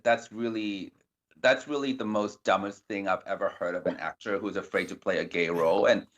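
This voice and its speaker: Hong Kong-accented man, low voice, lo-fi. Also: normal speed. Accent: Hong Kong English with a slight British tinge. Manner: animated, acts out quoted exchanges with sharp pitch jumps. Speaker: filmmaker and director, guest. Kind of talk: podcast interview.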